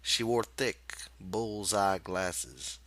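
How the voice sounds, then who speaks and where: A man reading literary fiction aloud as an audiobook narrator, with measured, deliberate phrasing. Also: low voice, animated, gravelly, fairly bright tone. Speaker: audiobook reader.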